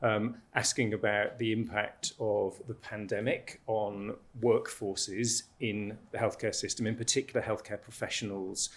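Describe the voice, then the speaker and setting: A man's voice: fairly low pitch, little pitch movement, measured pace, few pauses. A man facilitating a panel and presenting a report, with many fillers and restarts.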